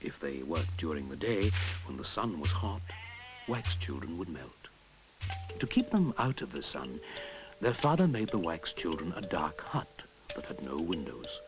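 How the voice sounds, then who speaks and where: A man narrating a folktale in an expressive storytelling manner, sometimes dropping to a whisper. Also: low-pitched, rough recording. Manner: expressive storytelling, drops to a whisper at hushed moments. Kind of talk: storybook narration over music. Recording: narration with clear music behind.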